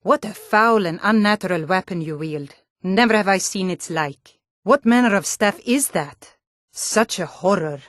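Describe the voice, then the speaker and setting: A woman's voice: medium pitch, animated, a little slow, a bit bright, dry recording, studio quality. A woman voicing a tough, blunt female Nord warrior guard in a fantasy video game, stern, taunting and combative. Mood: gruff, watchful.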